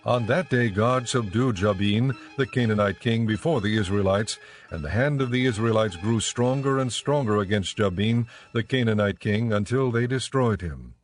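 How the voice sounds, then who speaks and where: A man's voice: fairly low pitch, normal speed, faint music behind. A man reading as the narrator of a scripted, dramatized scripture audiobook.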